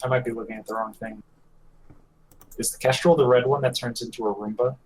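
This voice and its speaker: A man's voice: mid-range voice, fairly even intonation, brisk, darkish tone, low-quality recording. A man co-hosting a podcast, chatting casually with a joking manner. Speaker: podcast co-host. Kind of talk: casual chat.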